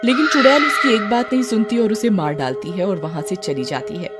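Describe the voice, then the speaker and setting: A woman's voice: medium pitch, fairly quick. A woman narrating a scripted horror cartoon story. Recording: music behind the voice.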